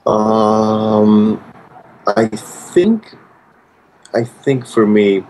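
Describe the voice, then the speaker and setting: A man's voice: fairly low pitch, steady tone, very slow, darkish tone, slight background noise, low-quality recording. A man of thirty from Hungary with a Hungarian accent, a pianist answering interview questions thoughtfully, often restarting his sentences. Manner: thoughtful, many restarts and fillers. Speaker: pianist guest.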